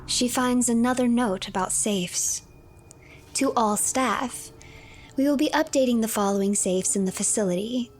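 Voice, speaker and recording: A woman streamer giving measured, scripted story narration of a video game and reading its files aloud. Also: fairly high pitch, slight background noise.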